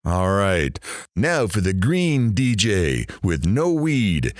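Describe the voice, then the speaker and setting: A man's voice: deep voice, very animated, takes it slowly, dry recording, clean studio sound, heavily acted. A man playing a brash, crude and nosy radio talk show host in an audio drama, teasing and showy. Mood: hyped, showy.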